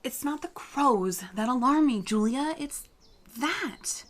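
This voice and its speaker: A woman's voice: high voice, expressive, takes it slowly. A woman playing a wary, stubborn young village girl in an audio drama, sounding insistent and uneasy. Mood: fearful.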